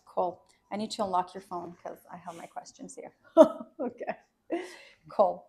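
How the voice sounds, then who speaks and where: A woman's voice: lowish voice, fairly even intonation, unhurried, gravelly, warm tone. Chatty Italian-accented woman host. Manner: chatty, loose, frequent restarts. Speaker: podcast host. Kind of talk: casual interview chat.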